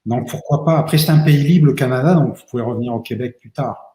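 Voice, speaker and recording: A man of middling pitch answers questions as a lawyer, stopping often to fill with hesitations and restart his sentences. His voice sits in a noticeably roomy, echoing space. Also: fast talker, dark tone. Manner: hesitant, many fillers and restarts. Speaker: immigration lawyer. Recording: roomy echo.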